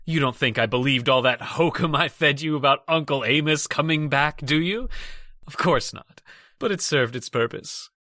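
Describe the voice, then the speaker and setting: An actor playing a scheming, cold-blooded murderer who hides behind a respectable front in an old-time radio horror drama. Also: male voice, on the high side, moderate pace, bright, forceful tone. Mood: gloating menace.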